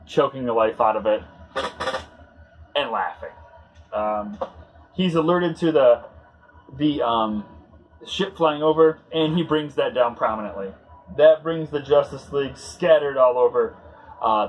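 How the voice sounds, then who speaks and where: A man hosting a casual read-along commentary, talking in a halting, conversational way as he searches for words. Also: medium pitch, rough recording. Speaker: host.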